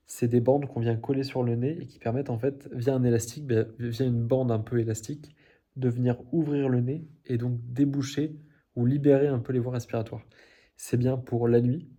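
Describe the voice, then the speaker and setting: A man's voice: mid-range voice, mellow. A young man chatting casually to the camera in a daily video diary, rambling with many fillers and restarts and laughing at his own slips. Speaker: vlogger.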